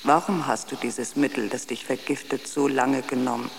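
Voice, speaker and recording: A woman's voice: very deep voice, fairly even intonation, moderate pace, creaky, background noise. A woman playing a seductive, insistent phantom voice in a radio drama, drawn out and incantatory, often whispering. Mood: soothing, commanding.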